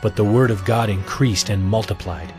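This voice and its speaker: A man giving a scripted, solemn and measured narration for an audio drama of scripture. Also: lowish voice, over music.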